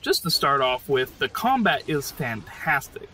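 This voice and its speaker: A man's voice: mid-range voice, very animated, measured pace, very noisy. A man narrating enthusiastic scripted commentary on a video game, with an emphatic, informal, fannish delivery. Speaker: host narrator of a video game praise series.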